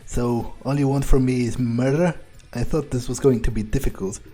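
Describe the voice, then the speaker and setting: Man playing a mellow, cold, cynical vampire. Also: medium pitch, fairly even intonation, normal speed, faint music behind, lightly acted. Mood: nonchalant, cold. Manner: nonchalant, hesitant musing. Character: cold, cynical male vampire, casually murderous. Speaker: game streamer role-playing his character. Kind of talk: in-character playthrough monologue, thinking aloud.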